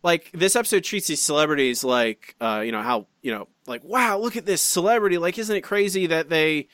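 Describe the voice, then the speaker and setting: A man co-hosting a podcast, reading out listener comments in chatty, animated fashion with frequent restarts and asides. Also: a little high, brisk, smooth, dry recording, clean studio sound. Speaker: podcast co-host.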